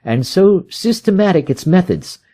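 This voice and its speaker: A man's voice: high voice, sing-song, moderate pace, mellow. A man from Arizona with an American accent, reading a scripted story aloud as an audiobook narrator. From Arizona, United States. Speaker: audiobook reader.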